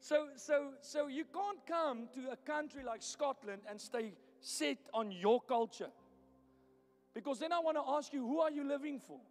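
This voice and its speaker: Man, South African accent, Afrikaans first language, pastor, blunt teasing sermon, high voice, echoey church hall, faint music behind. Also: lively intonation, normal speed.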